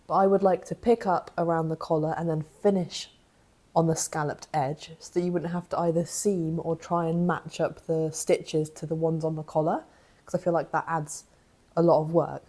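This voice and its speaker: A young woman, croaky from a cough, chatting casually in a knitting vlog as she thinks aloud. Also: deep voice, level tone, normal speed, mellow. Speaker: knitting vlogger.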